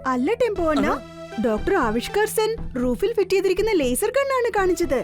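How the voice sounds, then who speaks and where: A clever young boy hero from a kids' cartoon, very high-pitched and animated. He sounds eager and inquisitive, with music behind him. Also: brisk. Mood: questioning. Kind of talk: children's cartoon dubbing in Malayalam.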